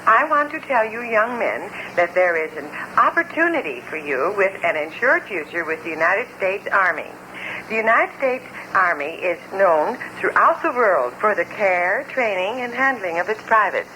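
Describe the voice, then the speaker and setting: A woman's voice: mid-range voice, very animated, normal speed, bright, forceful tone. A woman reading announcements as a radio announcer on a vintage recording. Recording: noisy old radio recording.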